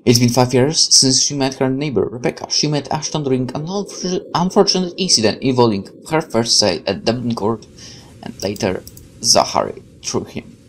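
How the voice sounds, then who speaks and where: A man in his twenties reading game text aloud with excitable, chatty asides and some stumbles. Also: mid-range voice, moderate pace, poor audio. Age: 20s. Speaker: gaming video host. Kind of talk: game let's play, reading aloud and reacting. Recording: faint background noise.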